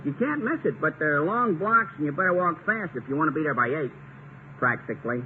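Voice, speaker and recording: An actor playing a plain-spoken cab driver with a halting, hesitant manner in a 1950s radio comedy. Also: male voice, a little high, animated, close to an everyday voice. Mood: helpful, halting. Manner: halting, tacks qualifiers onto sentences. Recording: tinny, noisy 1950 radio recording.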